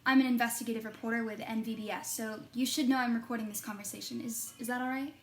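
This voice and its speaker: Casting: actress playing a determined female investigative journalist, earnest, a little flustered, fairly high voice, naturalistic audio drama. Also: fairly even intonation, fast talker, slight background noise, close to an everyday voice. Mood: flustered, helpful. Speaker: actor and narrator in an audio drama. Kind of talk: podcast-style narration and naturalistic drama dialogue.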